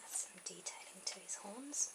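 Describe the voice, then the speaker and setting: A woman softly whispering an ASMR drawing tutorial in a breathy, informal way, pausing mid-thought. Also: medium pitch, animated. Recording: very noisy amateur camera audio.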